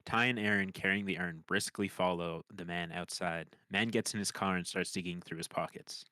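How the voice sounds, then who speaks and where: Low, bright-edged man narrating a script. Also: fairly even intonation, moderate pace, no room sound. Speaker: screenplay narrator. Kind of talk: scripted narration of comedy stage directions.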